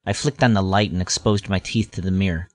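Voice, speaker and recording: A man's voice: low-pitched, steady tone, brisk, a little mellow, natural voice. A man playing a grown-up wryly recalling his boyhood in scripted first-person audio drama narration. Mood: wry, nostalgic. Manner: wry, nostalgic storytelling.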